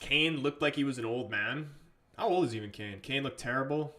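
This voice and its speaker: A man's voice: mid-range voice, fairly quick, fairly bright tone. A man with an English accent hosting a casual live stream, chatting through a football match and reading out viewer comments. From England. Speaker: livestream host.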